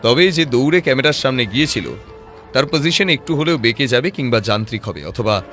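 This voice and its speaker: A man acting as a sharp-witted private detective with a mid-range voice, measured and composed. He lays out his reasoning patiently and probes with rhetorical questions, in an audio drama with music underneath. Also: medium pitch, animated, close to an everyday voice. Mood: calm, explaining. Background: background music.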